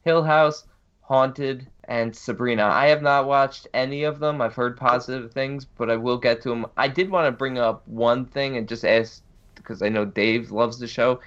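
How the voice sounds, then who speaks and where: A man hosts a relaxed podcast chat, reading out listener questions between easy laughs. His voice sits at an ordinary pitch, and the recording is dull and lo-fi. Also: moderate pace. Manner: laughs easily, reads questions out. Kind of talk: casual chat.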